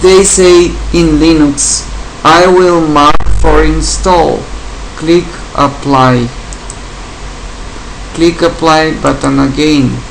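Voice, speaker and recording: A man from Bulgaria with a Bulgarian accent, English not his first language, giving a software tutorial in short, plain step-by-step instructions. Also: on the high side, fairly even intonation, slow pace, gravelly, slight background noise, low-quality recording. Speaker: tutorial presenter. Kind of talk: screen-recorded software tutorial.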